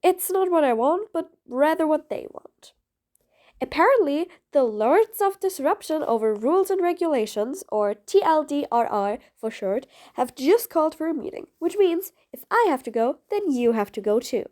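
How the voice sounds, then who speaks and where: Female supervillain for audio roleplay, played by a woman: high voice, sarcastic, mean yet patient, grudgingly soft. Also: sing-song, moderate pace. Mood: wry, menacing. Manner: sarcastic, dry, exasperated. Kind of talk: audio roleplay acting addressed to the listener.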